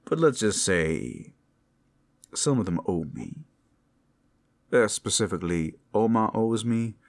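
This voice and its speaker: Man acting a low-voiced, devoted werewolf lover. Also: big pitch swings, heavily acted. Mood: playful. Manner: soft endearments, halting heartfelt delivery, teasing asides. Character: tender, devoted, protective male werewolf lover working at a brothel. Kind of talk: intimate ASMR roleplay acting.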